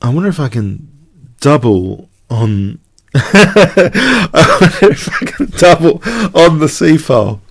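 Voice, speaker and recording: A man's voice: a little high, very animated, slow pace, breathy edge, dry recording. A man with a cold commentating on his own blitz chess games, hesitant and prone to stammering restarts. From not from the United States. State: congested with a cold. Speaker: chess streamer.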